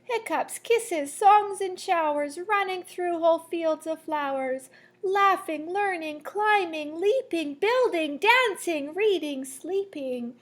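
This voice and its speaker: A woman reading a children's picture book aloud like a teacher, in a measured, sing-song storytelling way. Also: very high voice, expressive. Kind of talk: children's read-aloud storytelling with asides to listeners.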